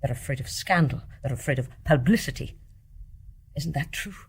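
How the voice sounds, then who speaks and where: An actress playing an embittered, devoted nurse in a radio play, with fervent, scornful, emphatic delivery. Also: female voice, rumbling low, normal speed, a little hiss or hum. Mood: cold accusing. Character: embittered, devoutly loving nurse, accusing and anguished. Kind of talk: intense stage-play dramatic acting.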